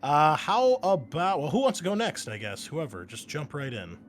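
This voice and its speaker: A man's voice: fairly high pitch, wildly swooping pitch, normal speed. Man hosting a game, noisy recording. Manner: joking, swears casually. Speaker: host and game master. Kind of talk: casual chat introducing a tabletop game show. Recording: noisy background ambience.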